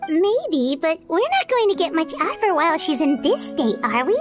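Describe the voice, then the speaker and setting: Squeaky, very high little girl companion. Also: sing-song, normal speed, over loud music. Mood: curious. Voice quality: squeaky, childlike. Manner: exclamatory, cheeky. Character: small bubbly childlike girl companion, blunt and curious. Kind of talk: video game character dialogue.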